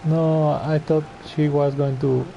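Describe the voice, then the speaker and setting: A man's voice: on the high side, level tone, measured pace, creaky, dark tone, dull audio, a little hiss or hum. A man giving casual, slangy gameplay commentary as a streamer, muttering his reactions. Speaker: game streamer.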